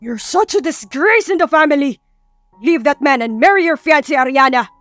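A woman's voice: high voice, animated, normal speed, a bit bright, dry recording, faint music behind, voice pushed up. A woman voicing a furious, domineering father in an audio love story, hissing out commands. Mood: scornful fury.

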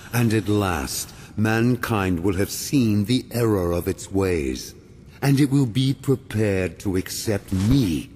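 An actor playing a grandiose, ancient villain mastermind in a video game, speaking in pompous, measured, formal oratory. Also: male voice, on the low side, a little mellow, a little hiss or hum. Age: older.

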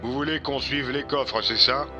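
A man's voice: mid-range voice, steady tone, moderate pace, faint music behind. A man playing a dutiful, matter-of-fact police captain, heard through a phone filter. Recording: dull, quiet phone-call sound.

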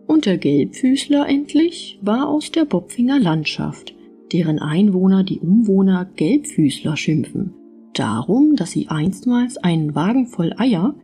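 Female audiobook narrator for fairy tales, animated delivery, warm tone. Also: medium pitch. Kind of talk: expressive fairy-tale storytelling.

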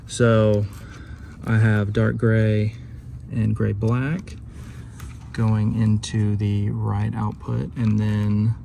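A man's voice: lowish voice, flat delivery, drawn-out words, warm tone. A man giving a casual, informal hands-on DIY walkthrough, recorded on a handheld phone inside a parked truck. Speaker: DIY video presenter. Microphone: handheld phone camera. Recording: clear background noise, vehicle ambience.

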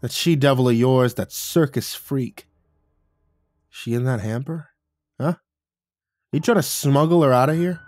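A man playing a mean, suspicious cutthroat thug with a forceful, taunting manner in a horror audiobook. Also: mid-range voice, unhurried, long pauses, no room sound, studio quality, lightly acted. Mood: angry threat.

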